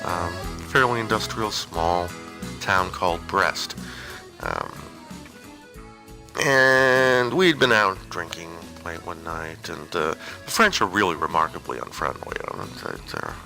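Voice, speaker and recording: A man's voice: low voice, lively intonation, slow, gravelly, pushed and bright, soft background music. A man giving an informal, rambling personal monologue with a hesitant, digressive and wry way of talking. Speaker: storyteller on an audio zine.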